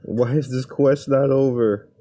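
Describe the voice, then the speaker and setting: A man's voice: mid-range voice, steady tone, unhurried, warm tone. A gaming YouTuber, a man, giving casual gameplay commentary with wry, grumbling asides over game audio. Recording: game audio with background music.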